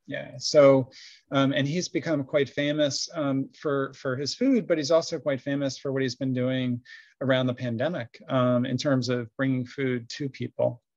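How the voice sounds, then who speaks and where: A man's voice: mid-range voice, steady tone, moderate pace, a little mellow, no echo, muffled recording. A man presenting in a webinar, hesitant, with many ums and uhs, on a video call. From grew up overseas. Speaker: webinar guest speaker. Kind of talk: casual presentation.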